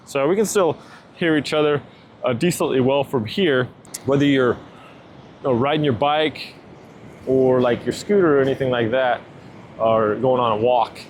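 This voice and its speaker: A man's voice: mid-range voice, measured pace, long pauses. Casual man reviewing gear outdoors, background noise. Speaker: product review host. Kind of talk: casual chat, unscripted product review. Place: outdoors near water, walking.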